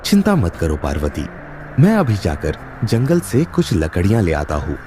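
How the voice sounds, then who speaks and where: Man acting a deep-voiced, pleading poor village farmer. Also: huge pitch swings, normal speed, dark tone, faint music behind. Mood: reassuring. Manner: pleading, plaintive. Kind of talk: Hindi animated moral story acting.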